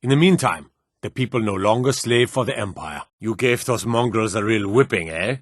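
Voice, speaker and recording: An actor playing a stern, dutiful fantasy guard and soldier in a video game, formal and commanding, with measured delivery. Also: male voice, lowish voice, expressive, dry recording, studio quality. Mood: firm, conspiratorial. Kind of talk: scripted fantasy game dialogue.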